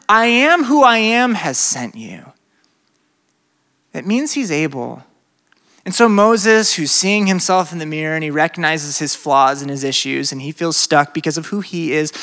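A young adult man, a pastor with a slight speech impediment, preaching a lively sermon to students, swinging from loud bursts to hushed stretches. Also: high voice. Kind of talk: sermon to a college crowd.

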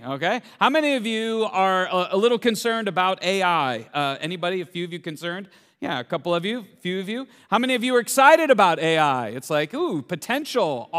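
A pastor in his forties preaching a sermon, energetic and joking, full of rhetorical questions. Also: high voice. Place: large church auditorium. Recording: echoey church hall.

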